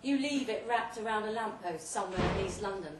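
A woman giving an emphatic, deliberately phrased dramatic reading of a second-person short story at a live event. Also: medium pitch. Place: indoor pub event, before an audience. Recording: roomy live pub recording, distant mic, faint noise.

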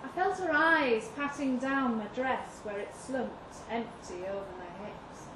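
A woman reads literary fiction aloud at an unhurried pace, leaving deliberate pauses between phrases. Her voice sits a little high and she delivers the story expressively. The live recording is echoey, with clear background noise. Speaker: reader of a short story at a live literary event. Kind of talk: expressive literary story reading in first person. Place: live reading event in an indoor venue. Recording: roomy live venue, distant mic, noisy.

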